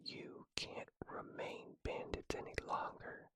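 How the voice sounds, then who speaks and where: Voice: slow, close to an everyday voice. A reader whispering as an earnest little girl. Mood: matter-of-fact. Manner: softer, hesitant, earnest whisper. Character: earnest, innocent young girl, shocked and scolding.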